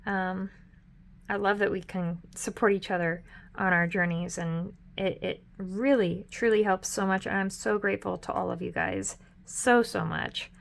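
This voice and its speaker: A middle-aged woman walking through her family budget in a chatty, upbeat way, a little hoarse and correcting herself as she goes. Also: mid-range voice, steady tone, measured pace. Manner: chatty and upbeat, with frequent ums and self-corrections. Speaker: budgeting vlogger. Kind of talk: casual budget walkthrough.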